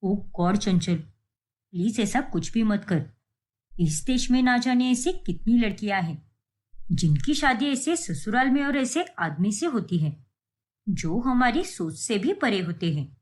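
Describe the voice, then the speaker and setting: Woman acting a scolding young friend, animated. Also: medium pitch, a little slow. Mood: pleading.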